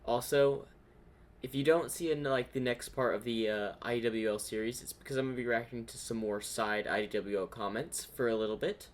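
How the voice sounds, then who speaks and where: A man with a medium-pitched voice gives casual reaction commentary. He hosts in an informal, halting way, working out his thoughts as he goes. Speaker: reaction video host.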